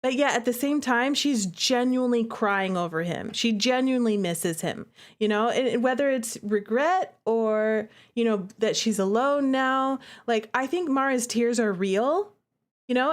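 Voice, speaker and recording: A woman with a fairly high voice talks casually to her livestream audience. She is chatty and expressive, commenting as a game developer and repeating points for emphasis.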